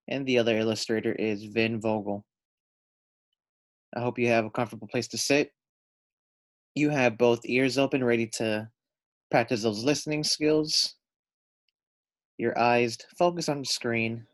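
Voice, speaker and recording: A man reading a children's picture book aloud with expressive, teacherly delivery and deliberate phrasing. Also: medium pitch. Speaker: read-aloud storyteller.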